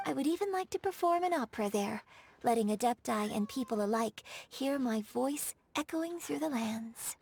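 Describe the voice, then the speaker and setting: A woman's voice: on the high side, expressive, normal speed, creaky, darkish tone, breathy edge, heavily acted. A woman voicing a courteous, refined young female opera performer in a video game, speaking formally with careful enunciation and a light, melodious voice. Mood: wistful. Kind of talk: scripted game character dialogue.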